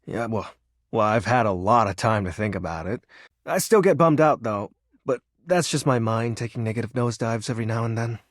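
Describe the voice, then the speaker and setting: Game role: male actor as brooding personification of darkness, grandiose yet awkward and wistful, highly animated pitch, dry recording. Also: a little fast, no echo, lightly acted. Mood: wistful, downcast. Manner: theatrical grandiose villain speech slipping into awkward, wistful sincerity. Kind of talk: dramatic video game character acting.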